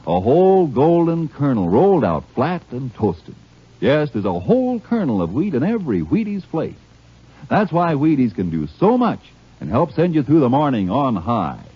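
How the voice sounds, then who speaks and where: Old 50s radio commercial guy, real salesy n punchy, pitch all over the place, muffled old recording. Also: husky. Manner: emphatic, salesy delivery. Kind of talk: 1950s radio advertising pitch. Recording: dull lo-fi vintage radio recording.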